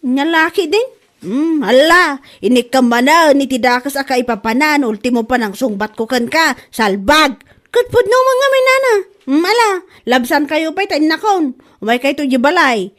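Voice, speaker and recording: A woman playing a quarrelsome, gullible, indignant woman in a comedy audio drama, with an Ilocano accent and drawn-out exasperated delivery. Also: sits high, lively intonation, a bit bright. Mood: indignant, scolding. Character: quarrelsome, gullible woman accusing a bag seller of lying. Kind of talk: Ilocano comedy radio-style drama acting.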